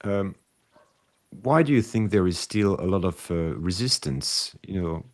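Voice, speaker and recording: A man hosting a podcast, asking questions in a casual, unscripted way with hesitant, halting phrasing. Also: deep voice, expressive. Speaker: podcast host, interviewer.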